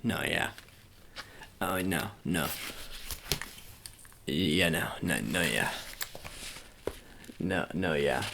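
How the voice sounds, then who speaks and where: A man doing an improvised ASMR roleplay as a sports agent, talking in halting, repetitive, murmured reassurances. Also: extremely low pitch, animated, creaky, noisy background. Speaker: ASMR performer.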